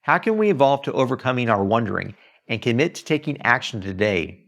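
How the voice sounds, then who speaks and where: A man reading his own book aloud in an earnest, emphatic, preacher-like manner, as in an expressive audiobook. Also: medium pitch, a little fast, vocal fry.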